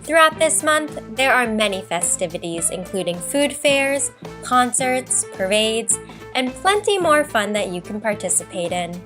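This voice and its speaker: A woman giving a scripted informational voice-over in a lively, even-paced way. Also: a little high, measured pace, music in the background.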